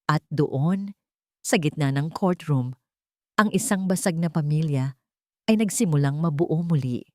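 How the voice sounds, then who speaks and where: A woman's voice: deep voice, measured pace, warm tone, dry recording, clean studio sound. A woman narrating a scripted dramatic audiobook story.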